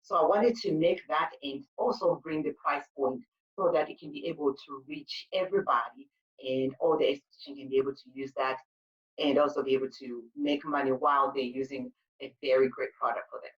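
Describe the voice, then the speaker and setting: A woman's voice: rumbling low, fairly even intonation, a little fast, far from the mic, lo-fi sound. A woman from Zimbabwe, a spa owner, answering interview questions in an earnest storytelling way with frequent fillers. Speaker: guest, spa owner and sugar paste maker.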